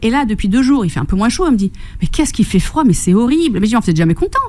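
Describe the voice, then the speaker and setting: Upbeat Corsican woman with a mellow voice. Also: on the high side, racing. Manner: enthusiastic, laughs often, animated storytelling with quoted voices. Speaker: fitness and nutrition coach, guest. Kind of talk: radio interview. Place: radio studio.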